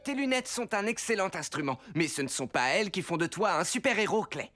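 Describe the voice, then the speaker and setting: Animated, encouraging superhero mentor. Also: male voice, high voice, brisk. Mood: reassuring. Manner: reassuring, animated. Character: encouraging, upbeat male mentor of young superheroes. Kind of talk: children's cartoon dub.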